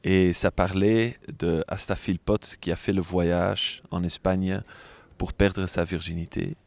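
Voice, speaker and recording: Deep voiced guy doing a french interview, really dry sound. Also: fairly even intonation, takes it slowly, vocal fry, a little mellow, dry recording. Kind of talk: interview, recounting.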